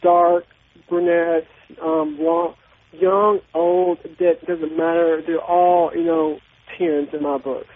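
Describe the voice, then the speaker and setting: A man calling in to a radio show, talking in a rambling, halting way. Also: on the high side, level tone. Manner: rambling, hesitant. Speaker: caller. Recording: tinny phone line.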